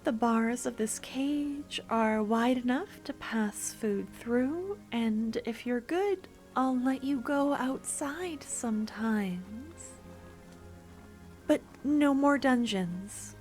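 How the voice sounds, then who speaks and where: A woman voicing a jealous female merchant in a scripted ASMR roleplay, speaking softly and sweetly, with a possessive streak. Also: fairly high pitch, takes it slowly, soft background music. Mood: possessive, upset. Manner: soft-spoken, sweetly teasing, turning possessive.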